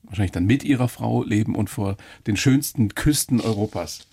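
A man around sixty hosting a radio interview, friendly and quick to laugh, asking follow-up questions. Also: fairly low pitch, gravelly, mellow, breathy edge. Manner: friendly, laughs easily, asks follow-ups. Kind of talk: conversational interview.

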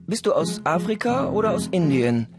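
A man's voice: high-pitched, sing-song, moderate pace, loud music behind the voice. A man playing a puzzled, polite elephant in a German radio play, asking questions in a hesitant, inquisitive way. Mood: puzzled, curious.

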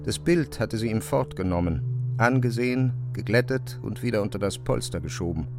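A man reading scripted literary narration as a storyteller, in a measured, deliberate way. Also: on the low side, animated, music in the background.

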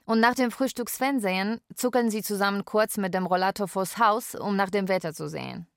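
A woman playing a carer who narrates a daily routine in a radio drama, measured and matter-of-fact. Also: medium pitch, creaky, no echo, clean studio sound, close to an everyday voice.

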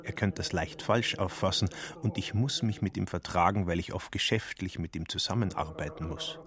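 Man playing a very deep-voiced, wary small-time swindler. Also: normal speed, background noise, close to an everyday voice. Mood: grudging. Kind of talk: German radio play acting.

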